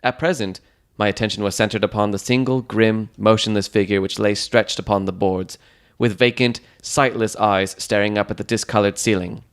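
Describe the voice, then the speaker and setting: A man narrates a Victorian mystery audiobook in a fairly low voice. His delivery is scripted and dramatic, with measured, descriptive storytelling. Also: steady tone, smooth.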